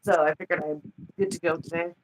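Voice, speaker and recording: Chatty, slightly croaky woman. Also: low voice, fairly even intonation, quick pace, heavy background noise. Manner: chatty, trailing off with many likes and laughs. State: slightly croaky from a swollen throat. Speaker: guest reader. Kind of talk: casual livestream chat.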